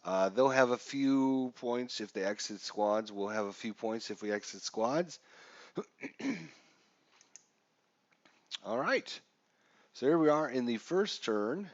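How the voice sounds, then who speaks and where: A man's voice: medium pitch, expressive, slow pace, long pauses, dry recording. A man with a stuffy, congested voice casually walking viewers through a wargame scenario on a live stream, with lots of fillers. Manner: casual, chatty with frequent fillers. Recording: dull, dry lo-fi stream audio.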